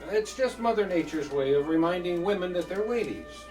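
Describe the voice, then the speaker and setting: Man doing a hearty, corny sitcom dad, pitched up. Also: lively intonation, a little fast, distant mic, over music. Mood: paternal. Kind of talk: comedy sketch acting.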